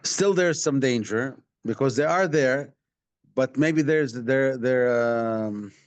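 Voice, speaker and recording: A Palestinian man with an Arabic accent, speaking as an activist in a live online question session, halting and often repeating his words. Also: mid-range voice, dry recording. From Palestinian West Bank. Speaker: activist, director of a community rights organisation. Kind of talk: live online talk answering audience questions. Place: online video call. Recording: dull, lo-fi online stream sound.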